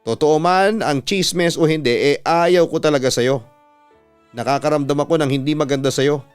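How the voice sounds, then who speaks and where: A man's voice: a little high, normal speed, clean tone, soft background music, natural voice. A man voicing a stern, suspicious mother. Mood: stern, distrustful. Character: disapproving, suspicious middle-aged mother of a grown daughter.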